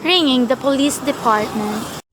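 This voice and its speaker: A woman's voice: high-pitched, measured pace, a bit bright, slight background noise. A woman playing an officious telephone chief operator in a radio play, formal, procedural and detached. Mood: businesslike.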